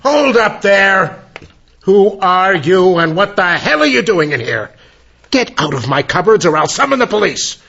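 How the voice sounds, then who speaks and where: An actor playing an irascible, cornered professor with a guilty secret in an old-time radio mystery, theatrical and imperious. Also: male voice, high-pitched, sing-song, a little slow, creaky, bright, forceful tone, slight background noise, full character voice. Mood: indignant. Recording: muffled radio drama recording.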